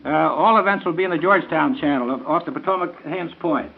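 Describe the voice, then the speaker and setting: A man's voice: on the high side, quick pace, gravelly, slight background noise. An American man making scripted announcements in the style of 1930s radio. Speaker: event announcer on a radio show. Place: radio studio. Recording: tinny, narrow 1930s radio broadcast.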